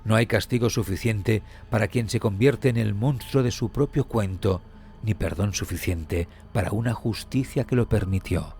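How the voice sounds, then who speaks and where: A man reading scripted documentary narration in a solemn, measured, dramatic way with weighty pauses. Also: on the low side, darkish tone. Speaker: true crime podcast narrator. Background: background music.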